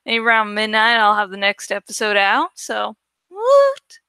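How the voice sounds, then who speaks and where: A woman casually rambling as she edits artwork for her channel, thinking out loud, self-deprecating and swearing freely. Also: a little high, huge pitch swings, measured pace, clear, resonant tone, dry recording, studio quality. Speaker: video creator and host. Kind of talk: casual rambling commentary while editing artwork.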